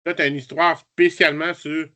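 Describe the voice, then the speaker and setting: A man's voice: on the high side, little pitch movement, normal speed, sharp tone. A man with a Quebec French accent chatting informally as a guest reviewer, in colloquial speech. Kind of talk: casual chat, review.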